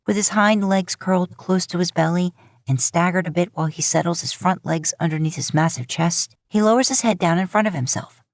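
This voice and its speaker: A woman's voice: fairly low pitch, fairly quick, dry recording, studio quality. A woman reading fan fiction aloud as scripted audiobook-style narration. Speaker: podfic narrator.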